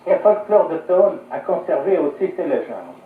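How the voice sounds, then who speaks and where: A man's voice: fairly high pitch, normal speed, recorded from a distance, narrow, tinny audio, slight background noise. Echoey old-radio man telling folk tales. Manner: fluent, expressive, literary. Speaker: storyteller. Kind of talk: scripted 1950s radio folk-tale narration. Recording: echoey, band-limited 1950s radio archive.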